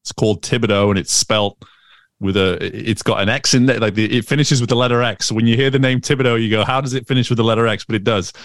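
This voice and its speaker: Man, 30s, English accent with American traces, chatty with fillers, smooth voice, dry close sound. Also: medium pitch, steady tone, fast, dry recording. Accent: Northern English with American influence. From England. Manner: chatty, many fillers and restarts. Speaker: guest, swim coach. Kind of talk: casual interview conversation.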